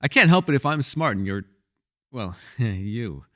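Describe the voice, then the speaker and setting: A man's voice: mid-range voice, big pitch swings, measured pace, sharp tone, long reverb tail, slight background noise. A man playing a bookish, witty but guarded elf in a fantasy ASMR roleplay, speaking in a wry, wordy, formal way. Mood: wry, playful. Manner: wry, wordy and formal, flustered when pressed.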